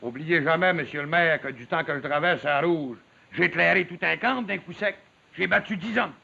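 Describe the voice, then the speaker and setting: An actor playing a big, proud log driver in a 1950s television drama, with a gravelly voice and a rustic Quebec French accent. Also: male voice, on the high side, moderate pace, pushed and bright, heavily acted. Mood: boastful, vengeful. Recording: dull 1950s television sound.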